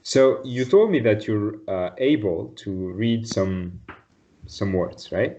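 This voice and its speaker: A man's voice: fairly low pitch, animated, slow, warm tone. A man from Tunisia tutoring a student one-on-one in an online lesson, patient and encouraging, often repeating his praise. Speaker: Arabic tutor. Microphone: video call. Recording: dull video call audio.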